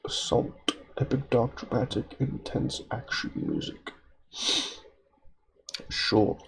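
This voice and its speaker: A man's voice: medium pitch, huge pitch swings, slow pace, poor audio. A man streaming from home, a little nasal while recovering from an illness, rambling to himself as he sets up his stream. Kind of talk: casual stream setup chatter.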